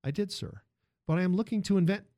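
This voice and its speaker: An earnest, deferential young inventor, voiced by a male narrator. He speaks politely and with restraint in an audiobook story, his higher voice recorded close and dry. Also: fast, dark tone, no room sound, close to an everyday voice. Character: deferential but ambitious young male inventor seeking work.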